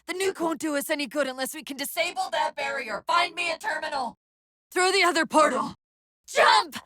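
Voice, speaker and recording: A woman voicing a video game's female AI companion, an urgent, wry military guide with crisp, technical, commanding delivery. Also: high-pitched, moderate pace, sharp tone, no room sound, studio quality. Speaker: video game voice actor. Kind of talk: video game dialogue, tactical callouts.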